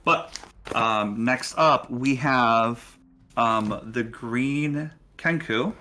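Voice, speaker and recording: A man narrating a tabletop role-playing game as game master, pausing hesitantly mid-sentence. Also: medium pitch, sharp tone. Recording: faint background music.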